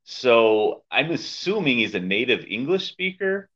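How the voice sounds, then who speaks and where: A man's voice: medium pitch, very animated, a little slow, fairly bright tone. A man co-hosting a podcast, talking in a casual, wry, conversational review style over a dull lo-fi recording. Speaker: podcast co-host. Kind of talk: casual comic book review chat. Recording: dull, lo-fi microphone sound.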